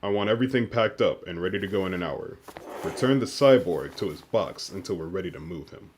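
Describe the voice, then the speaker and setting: An actor playing a cold, controlling leader of a covert team, formal and measured, in an audio drama. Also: male voice, low-pitched, lively intonation, a little mellow, a little hiss or hum. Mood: commanding.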